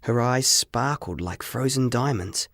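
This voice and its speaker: A man narrating a story in the first person as an audiobook reader, with expressive, dramatic, deliberate phrasing. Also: medium pitch, no room sound, clean studio sound.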